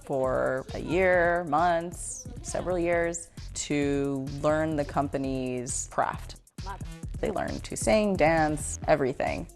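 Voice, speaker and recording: Low creaky-voiced woman narrator. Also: slow pace, soft background music. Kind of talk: explainer narration.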